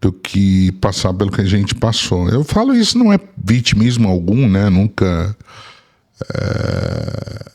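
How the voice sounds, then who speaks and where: A middle-aged man with a very low, deep voice, Arabic as his first language. He speaks slowly and reflectively, stumbling over and repeating words as he searches for what to say. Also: wildly swooping pitch, creaky, darkish tone. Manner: halting and reflective, many restarts and repeated words, keeps adding "né?". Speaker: podcast guest, entrepreneur. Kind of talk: personal storytelling in a conversation.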